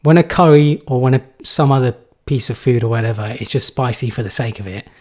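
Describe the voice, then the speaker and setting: A man's voice: medium pitch, fairly quick, a little mellow. A young man from England answering viewer questions in a live stream, casual and rambling with many fillers. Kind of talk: live Q&A chat.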